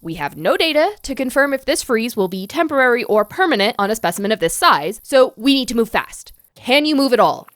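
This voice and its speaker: A woman's voice: on the high side, big pitch swings, a little fast, few pauses, fairly bright tone, no room sound, studio quality. A woman playing an earnest, anxious scientist who gives urgent, commanding instructions in an audio drama. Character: earnest, urgent young scientist coaching a trapped friend.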